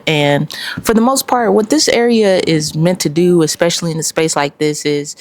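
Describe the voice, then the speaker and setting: A young woman with a low, gravelly voice, getting over a cold, walks the viewer through her storage unit in a loose, casual vlog style. She talks in an easygoing way, full of "like"s. The sound is dry and close, with little room echo. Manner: relaxed, filler-heavy, with many "like"s. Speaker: clothing brand owner. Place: small metal storage unit, indoors.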